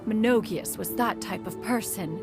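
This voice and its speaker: A woman's voice: mid-range voice, vocal fry, natural voice. A woman voicing a proud, formal female crane spirit in a game, speaking in a stately, archaic way and calling herself "one". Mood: solemn. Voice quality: stately, measured. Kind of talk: game voice acting. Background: game music.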